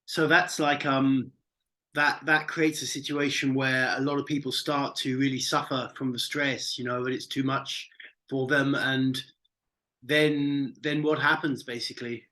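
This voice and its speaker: A man's voice: medium pitch, little pitch movement, unhurried, pushed and bright. A man hosting an interview show, introducing his guest and asking questions in an easygoing way, with plenty of "um" and "uh" fillers. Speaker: podcast host.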